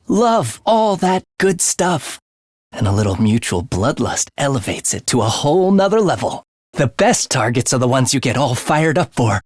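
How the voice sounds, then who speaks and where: A man's voice: a little high, no echo, clean studio sound. An actor playing a playful, morbid young male assassin in a video game, with breezy, teasing speech that turns theatrical. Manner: breezy, teasing slang turning breathy and theatrical. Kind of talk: English video game voice acting.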